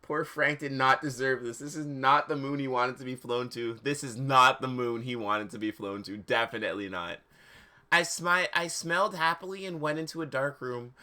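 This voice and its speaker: A man's voice: mid-range voice, moderate pace, pushed and bright. A man streaming a live dramatic reading of fan fiction, with mock seriousness, stumbles over words and amused asides.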